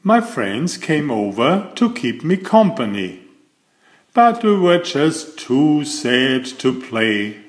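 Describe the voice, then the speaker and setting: An older man reading aloud as a children's storyteller, with slow, deliberate, expressive phrasing. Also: a little high, roomy sound. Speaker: children's book reader and host.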